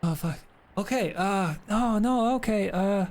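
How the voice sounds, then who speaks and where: A man voicing a sardonic, smug vampire interrogator in a tabletop game, with courteous, deliberate phrasing. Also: sits high, a little mellow, voice pushed up. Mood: flustered, apologetic. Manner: deliberate, polite threats.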